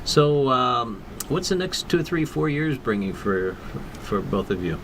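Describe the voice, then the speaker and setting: A man's voice: mid-range voice, lively intonation, a little slow, noisy background. Affirming male talk-show host. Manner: friendly, affirming, asks follow-up questions. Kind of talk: interviewing guests.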